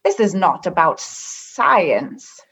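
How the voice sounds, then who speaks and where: A woman playing a stern, cryptic alien religious and political leader in a sci-fi radio comedy, speaking formally and literally, with measured delivery. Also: fairly low pitch, big pitch swings, fairly bright tone, breathy edge, exaggerated voice, low-quality recording. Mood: cryptic, unbending.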